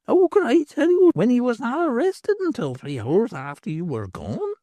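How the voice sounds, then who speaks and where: A reader voicing an old crone tavern hostess who gloats and gives ominous superstitious warnings in a shrill, cackling voice. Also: female voice, big pitch swings, normal speed, dark tone, no echo. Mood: gloating. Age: older. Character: old crone innkeeper, sly and grimly fatalistic.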